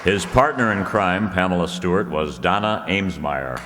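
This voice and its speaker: A man playing a vintage radio announcer, reading scripted copy in a measured, formal manner. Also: low-pitched, a crowd in the background, lightly acted. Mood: formal, genial. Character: smooth 1930s radio announcer selling soap. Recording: echoey hall, noisy audience room.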